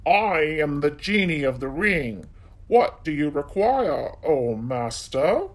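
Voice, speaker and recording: A grand male genie from a children's storybook, put on by a man narrating. He speaks slowly and ceremoniously, an obedient servant addressing his master with solemn weight. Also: on the high side, big pitch swings, full character voice. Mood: grand, servile.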